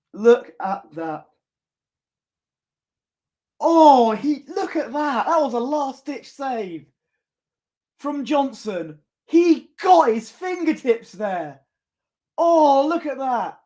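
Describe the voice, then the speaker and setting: Slow-talking excitable fan co-commentator. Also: male voice, extremely high pitch. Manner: excitable, laughing, drawn-out exclamations. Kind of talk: casual watchalong co-commentary.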